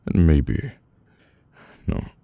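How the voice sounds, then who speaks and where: A man with a British accent playing a brooding, domineering, burdened mafia boss in an intimate, halting ASMR audio roleplay. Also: dark tone, dry recording. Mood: distressed, desperate. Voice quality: very deep, gravelly. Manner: slow, halting, weary menace.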